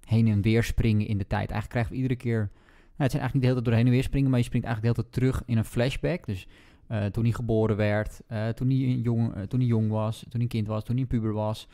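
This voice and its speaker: A man's voice: mid-range voice, steady tone, quick pace, hardly any pauses, warm tone. A man co-hosting a podcast in a casual discussion about books. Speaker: podcast co-host.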